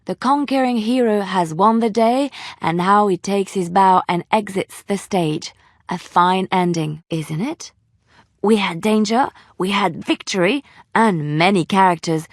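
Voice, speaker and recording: An actress playing a devout, gentle young woman rogue in a fantasy video game, earnest and polite. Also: mid-range voice, a little slow, no echo, clean studio sound, close to an everyday voice. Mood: playful, theatrical. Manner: earnest, polite, softly pleading.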